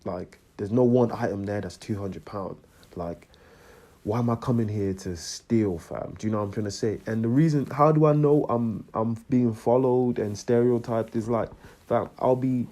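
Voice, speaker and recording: A young man from Congo, telling a story in a slangy, animated rant and often asking "you know what I'm trying to say". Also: medium pitch, normal speed. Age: young adult. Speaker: vlogger.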